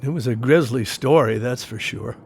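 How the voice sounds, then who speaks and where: A man as a gently probing confidant, noisy recording. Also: mid-range voice, normal speed, creaky, natural voice. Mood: musing. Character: attentive male confidant, gently probing and apologetic. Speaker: actor in an audio play. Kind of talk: naturalistic audio play acting.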